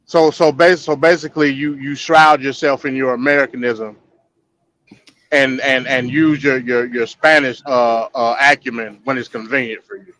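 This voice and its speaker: A man's voice: mid-range voice, steady tone, normal speed, a bit bright, no echo, rough recording. A man hosting a live call-in debate stream, pressing his points in a probing way and often repeating words as he goes. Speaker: host.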